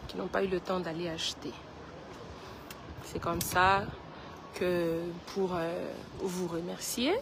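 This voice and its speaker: A woman's voice: lowish voice, background noise. A woman, sick with a cold, chatting straight to her followers on a livestream. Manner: chatty, talks straight to viewers. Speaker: page host addressing followers. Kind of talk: casual phone livestream chat.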